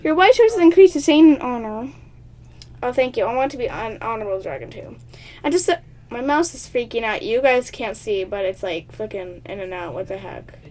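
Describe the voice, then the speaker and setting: Brief: high-voiced woman reading a game aloud, chatty and excitable, stumbles over words, laughs at herself. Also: normal speed. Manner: laughs often, talks at herself. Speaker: gaming channel host.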